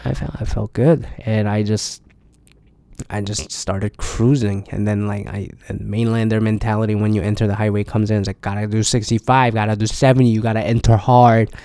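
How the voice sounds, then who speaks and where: Man, gravelly low voice, mellow, tired, rambling and swearing, dry close mic. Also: steady tone, moderate pace, no echo. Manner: rambling, profane, restarts often. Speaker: podcast host. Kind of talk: casual solo travel chat.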